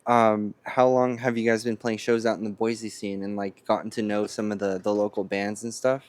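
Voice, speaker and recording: A man in his late twenties hosting a relaxed interview, swearing freely and leaning on "y'all" and "legitimately.". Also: lowish voice, moderate pace, dry recording. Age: late 20s. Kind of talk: casual chat. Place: Boise, Idaho.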